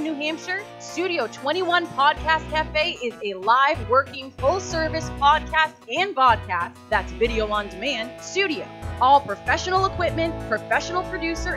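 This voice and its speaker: A woman with a high voice reads a scripted ad in an upbeat, promotional way. Music plays clearly behind her. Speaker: ad announcer. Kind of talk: scripted studio ad read.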